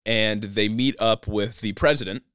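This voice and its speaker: A young man in his twenties co-hosting a casual book review podcast, laid-back and low-key as he recaps the plot, on a boom mic in a small home studio. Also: steady tone, moderate pace, fairly bright tone, smooth, no room sound. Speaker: podcast co-host. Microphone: boom mic on stand.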